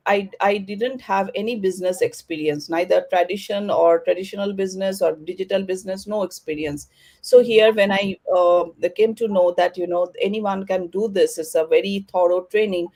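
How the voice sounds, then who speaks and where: A woman hosting an online panel over a video call, who talks haltingly with many fillers and restarts. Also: mid-range voice, a little mellow. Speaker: panel host.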